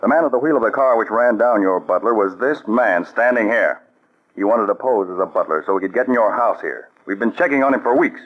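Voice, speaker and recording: An actor playing a firm, controlled counterspy chief in a 1940s radio drama. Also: male voice, lowish voice, expressive, fairly quick. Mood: confronting. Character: calm, commanding male counterspy chief. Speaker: lead agent. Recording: dull old radio broadcast.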